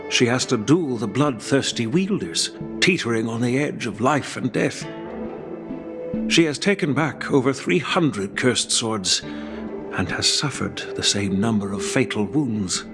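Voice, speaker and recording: A man playing a dignified, caring elderly grandfather in a video game, speaking in a measured, solemn, formal way. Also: mid-range voice. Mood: grave concern. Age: older. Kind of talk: scripted game dialogue. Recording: background music under the voice.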